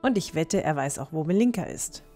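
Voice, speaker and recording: Eine Frau spielt eine entschlossene, tiefere junge Heldin. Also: female voice, low-pitched, lively intonation, fairly quick, dark tone, faint music behind. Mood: resolute. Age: young adult. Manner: firm, decisive. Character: resolute, stern young heroine with firm morals. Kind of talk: fan-made fantasy audio drama acting.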